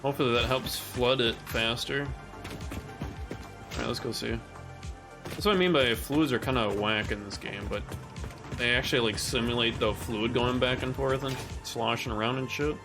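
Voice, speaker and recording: A man streaming a game from home, chatting casually with viewers as his voice grows raspy and rough. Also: mid-range voice, moderate pace. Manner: laid-back, swears casually, talks to chat. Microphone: condenser studio mic. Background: game music.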